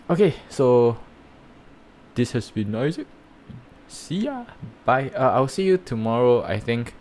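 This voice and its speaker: A man with a Singaporean accent giving rambling, halting commentary while live-streaming reviews of projects. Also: medium pitch, very animated, a little mellow, slight background noise. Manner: rambling, halting, many fillers and restarts.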